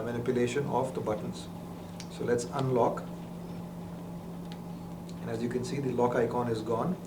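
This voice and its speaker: A man walks through a product's functions step by step in a methodical, explanatory way. His voice sits a little away from the microphone in a roomy space. Clear noise runs behind him. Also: mid-range voice. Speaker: product demonstrator. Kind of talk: unscripted instructional product walkthrough. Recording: roomy, distant mic with clear background noise.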